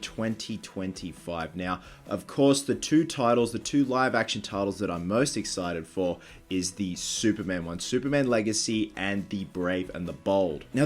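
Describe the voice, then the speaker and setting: A man with a stuffy, nasal voice from just waking up, hosting a casual news commentary vlog in a chatty, excitable fan manner. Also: mid-range voice. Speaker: vlog host.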